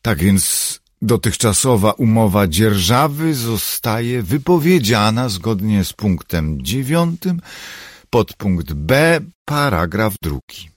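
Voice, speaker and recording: A man voicing a grave woman in an audiobook who reads an official letter aloud in a formal, deliberate, emphatic way. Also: fairly low pitch, very animated, no room sound, studio quality, voice pushed up, exaggerated voice.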